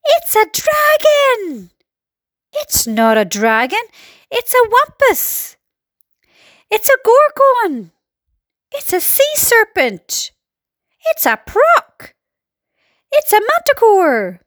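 A woman voicing excited, frightened onlookers, policemen and firemen in a storybook, crying out in drawn-out exclamations. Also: no room sound, clean studio sound, exaggerated voice. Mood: panicked. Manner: high, drawn-out cries.

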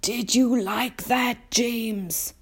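An elderly gentleman grasshopper from a children's storybook, acted by a woman. The voice is lifted high and drawn out slowly. He is genteel and gently proud, explaining things in an old-fashioned way. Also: steady tone, breathy edge, full character voice. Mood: delighted, genial. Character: old male green grasshopper, gentle, courteous, proud violinist.

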